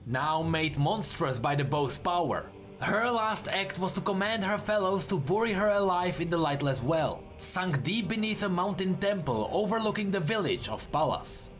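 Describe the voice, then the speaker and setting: Male lore narrator, high voice, lively intonation, measured dramatic storytelling, scripted, light music underneath.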